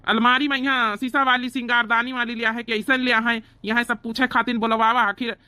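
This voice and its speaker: A man's voice: extremely high pitch, flat delivery, fairly quick, pushed and bright, clear, resonant tone, no room sound, exaggerated voice. A man voicing a proud, doting village brother in a cartoon comedy, earnest and boastful, with an Awadhi accent.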